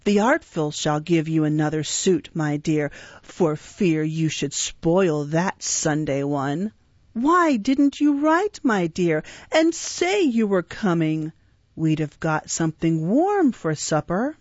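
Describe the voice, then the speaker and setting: A woman's voice: low voice, very animated, slow, a little mellow, dry recording, heavily acted. A woman reading an audiobook, voicing a sly old male thief master who talks in a fawning, mock-humble, oily way, on a dull, dry recording. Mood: wheedling.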